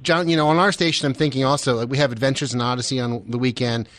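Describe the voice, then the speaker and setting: An American man hosting a casual radio interview, asking questions in a halting, rambling way. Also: mid-range voice, steady tone, a bit bright, no echo. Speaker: radio station host interviewing.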